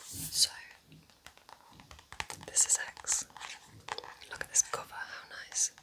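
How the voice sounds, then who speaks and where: A woman speaking in a soft, chatty, informal ASMR whisper, gently recommending things as a host in an unhurried way. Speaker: ASMR host reviewing books.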